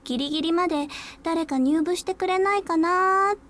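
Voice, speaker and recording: High voiced anime schoolgirl, polite but teasing, kinda eccentric, talks fast. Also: a bit bright. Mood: matter-of-fact. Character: cheerful, teasing, eccentric high school first-year girl. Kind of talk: Japanese visual novel voice acting.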